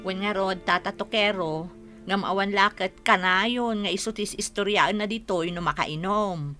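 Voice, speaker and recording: A woman's voice: mid-range voice, moderate pace, bright, forceful tone, faint music behind, natural voice. An actress playing an embarrassed, disapproving woman who chides an old man in a radio comedy drama.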